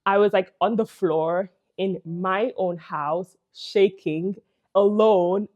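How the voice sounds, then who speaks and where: A woman's voice: mid-range voice, slow pace, a little mellow, dull audio. A young woman with a Nigerian English accent, telling a personal story to camera in a casual, chatty way full of "like" and "you know". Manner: chatty, frequent "like" and "you know", laughs between phrases. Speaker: vlogger.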